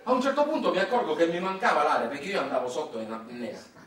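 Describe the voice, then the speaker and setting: A man's voice: fairly high pitch, expressive, fast talker, a bit bright. A man acting a nostalgic, chatty small-town Sicilian storyteller, speaking in a folksy, conversational stage monologue with dialect and a Sicilian accent. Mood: animated, amazed. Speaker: stage actor performing a monologue. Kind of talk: colloquial theatrical storytelling monologue. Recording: roomy, echoing, distant mic, faint noise.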